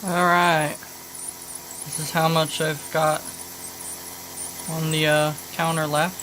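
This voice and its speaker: A woman talking casually through a hands-on repair demonstration, halting and thinking aloud as she goes. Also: low-pitched. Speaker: vintage electronics repair hobbyist. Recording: noisy home video, steady background noise. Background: clear background noise.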